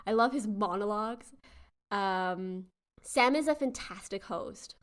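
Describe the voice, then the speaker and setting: A woman's voice: mid-range voice, unhurried. A woman co-host chatting casually and informally, often acting out imagined reactions. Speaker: podcast co-host. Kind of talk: casual chat.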